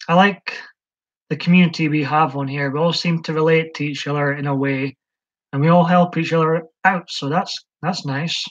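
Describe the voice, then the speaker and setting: A man's voice: on the high side, fairly even intonation, moderate pace, a bit bright. A man hosting a casual live stream, chatting hesitantly with lots of ums as he answers viewers' comments. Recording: dull lo-fi stream audio.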